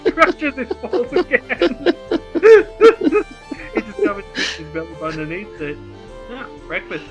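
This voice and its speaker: A man's voice: extremely high pitch, wildly swooping pitch, takes it slowly, faint music behind. A jovial, chuckling man, switching between casual chat and reading out script narration. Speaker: co-host and script reader.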